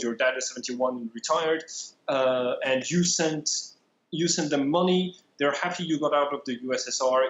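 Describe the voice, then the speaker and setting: A man running a tabletop game as game master, narrating the scene in a measured, deliberate way with many pauses. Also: a little high, a bit bright, dull audio. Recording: echoey room.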